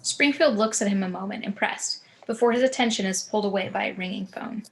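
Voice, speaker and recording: A woman's voice: medium pitch, a little fast, vocal fry. A woman narrating scene directions for a screenplay table read, occasionally stumbling over words.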